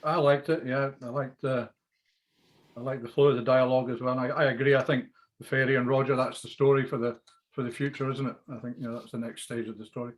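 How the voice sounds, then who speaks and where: Male panelist, middle pitch, conversational feedback. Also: little pitch movement, fast talker, rough recording. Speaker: actor on a reading panel. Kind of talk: casual feedback.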